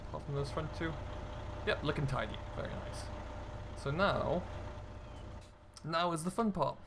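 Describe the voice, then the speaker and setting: A man's voice: fairly high pitch, a little slow, noisy background. A man giving casual, chatty commentary as host of a role-play gaming video, thinking aloud in an easygoing way.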